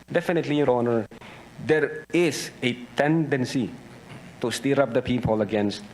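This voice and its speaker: A man's voice: mid-range voice, a little mellow. Filipino man testifying formally, noisy recording. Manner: deliberate, switches between English and Tagalog. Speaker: investigator testifying as witness.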